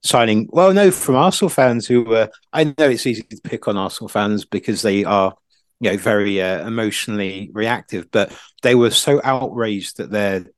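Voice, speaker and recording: A man's voice: fairly low pitch, normal speed, dry recording. A man co-hosting a football podcast, casually analysing in rambling, stop-start sentences full of asides.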